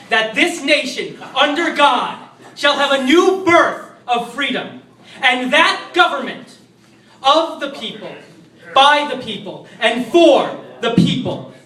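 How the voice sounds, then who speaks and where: A man recites a famous speech in a high, forceful voice. He phrases it slowly and emphatically, like a public declamation. The sound is distant and echoes around the room. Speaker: amateur reader in a group reading. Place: indoors in a bar. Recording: echoey room, far from the mic.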